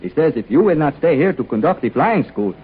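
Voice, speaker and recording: An actor playing a courteous, middle-aged Mexican gentleman with a Mexican accent and formal, gracious manner in a 1940s radio serial. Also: a little high, big pitch swings, brisk, slightly rough, heavily acted. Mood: earnest request. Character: courteous, generous Mexican gentleman host, hacienda owner. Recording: muffled old radio recording, faint crowd.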